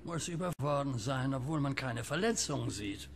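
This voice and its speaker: A man acting a grave, insistent older neighbour in a German radio drama, slow and urgent. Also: medium pitch, expressive, gravelly, a little hiss or hum. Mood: sombre. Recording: loud music and effects behind.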